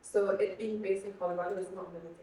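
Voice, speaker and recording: Young woman from Egypt, earnest panel guest, mellow tone, distant mic in a reverberant hall. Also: medium pitch, little pitch movement, fast talker. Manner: earnest, repeats words while thinking. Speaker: guest speaker. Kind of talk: panel conversation.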